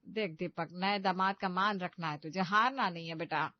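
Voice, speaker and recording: A woman's voice: lowish voice, moderate pace, lo-fi sound, natural voice. A woman voicing a bossy, insistent middle-aged mother in a Hindi comedy cartoon. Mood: coaxing, firm.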